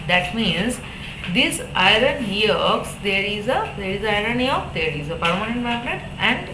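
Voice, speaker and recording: A woman with an Indian accent giving an explanatory technical lecture, speaking haltingly with frequent repetition and tag words. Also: mid-range voice, sing-song. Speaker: electronics lecturer. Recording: echoey room with background noise.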